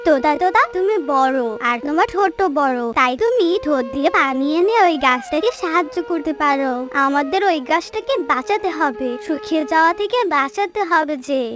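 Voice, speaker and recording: A woman's voice: high-pitched, animated, brisk, few pauses, music in the background. A woman voicing a small, kind-hearted, earnest female bird in a children's cartoon. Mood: earnest, encouraging.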